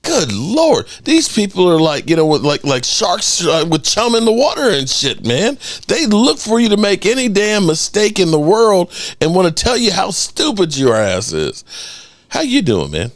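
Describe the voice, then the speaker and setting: A middle-aged man hosting a sports call-in show, animated and joking, swearing freely. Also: sits high, normal speed, dry recording. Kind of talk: casual call-in show talk.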